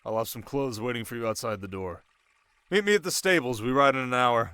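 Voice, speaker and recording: A man playing a young, sharp desert king in an intimate ASMR roleplay, teasing his new wife with measured, quietly commanding speech. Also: medium pitch, clear, resonant tone, no room sound, lightly acted. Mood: playful, brisk.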